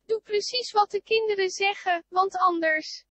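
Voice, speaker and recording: A woman's voice: extremely high pitch, moderate pace, fairly bright tone, dry recording. A woman voicing a menacing, gloating child leader in a scripted horror broadcast, taunting and threatening.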